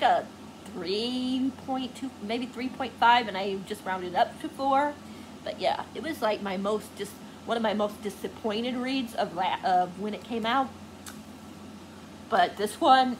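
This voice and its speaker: High-voiced rambling woman book vlogger, noisy recording. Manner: rambling, gushing, often trails off searching for words. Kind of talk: casual chatty vlog to viewers.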